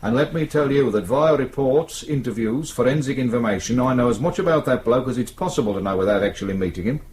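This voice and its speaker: An actor playing a brisk, world-weary British detective sergeant in a radio drama, reassuring but patronising and colloquial. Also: male voice, medium pitch, a little mellow, slight background noise, close to an everyday voice. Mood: firm, dismissive. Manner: matter-of-fact, patronising, colloquial.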